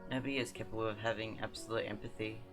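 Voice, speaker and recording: A woman's voice: rumbling low, flat delivery, racing. A woman game streamer giving casual reactions as she plays. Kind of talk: casual reactions and reading along while gaming. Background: game music.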